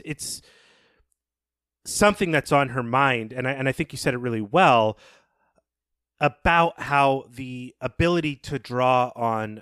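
Male podcast host, medium pitch, reflective and halting literary talk, dry close recording. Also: a bit bright, dry recording. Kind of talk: literary discussion, thoughtful analysis.

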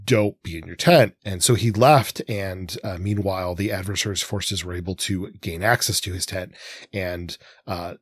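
Male podcast co-host, lowish voice, dry close sound, discursive literary talk. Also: husky, dry recording. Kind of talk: literary discussion of comics and mythology.

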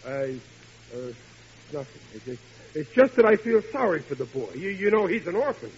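Blustering, stammering American radio dad. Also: male voice, sits high, big pitch swings, moderate pace, a little mellow, husky, heavily acted. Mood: flustered. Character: flustered, blustering working-class family father. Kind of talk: 1940s radio comedy acting. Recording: muffled old radio recording, faint noise.

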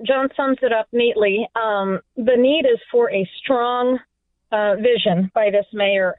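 Woman commentator on a phone line. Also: a little high. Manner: hesitant, many fillers. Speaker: political commentator. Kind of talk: radio panel discussion. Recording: phone-line guest, dry.

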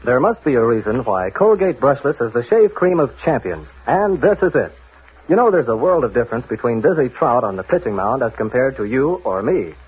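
Husky 1940s radio pitchman, lively. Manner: emphatic, repetitive salesman's delivery. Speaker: commercial announcer. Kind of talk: 1940s radio advertisement read. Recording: muffled, thin vintage radio broadcast.

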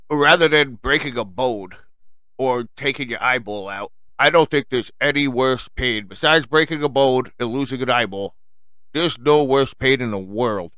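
A man hosts a casual solo show in a strong Long Island accent. His voice is forceful and clear, and he rambles bluntly, swearing and using slang as he talks straight to his listeners. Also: medium pitch, dry recording. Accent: strong Long Island New York. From Long Island, New York, United States. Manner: blunt, profane, slangy, addresses listeners as folks. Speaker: podcast host addressing listeners.

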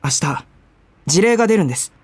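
A woman's voice: on the low side, lively intonation, fairly quick, a little mellow, slightly rough, no echo. A woman acting in a radio drama as an earnest young male junior coworker, polite and boyish, with a teasing side. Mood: serious, sincere.

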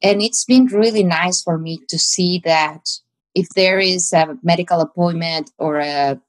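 A woman's voice: fairly low pitch, a little slow. A woman pastor from Mexico with a Mexican Spanish accent, answering interview questions in a reflective way and sometimes searching for words.

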